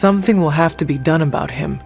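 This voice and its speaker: Formal, brooding warrior, higher-pitched. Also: male voice, creaky. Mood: dry, deliberate. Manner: formal, deliberate, dry. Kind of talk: video game character dialogue. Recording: dull band-limited game audio, music behind.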